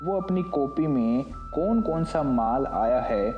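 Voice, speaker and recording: A man's voice: medium pitch, expressive, slow, muffled recording. Echoey male cartoon story narrator. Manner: storytelling, also voices the worker. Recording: echoey mix over loud music.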